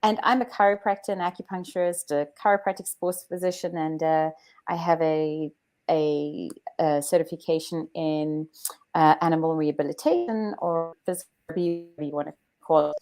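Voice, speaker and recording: Guest expert: South African woman, lowish voice, explains things plainly and matter-of-factly. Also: fairly even intonation, a little fast, a little mellow. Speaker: guest chiropractor. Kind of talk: interview conversation.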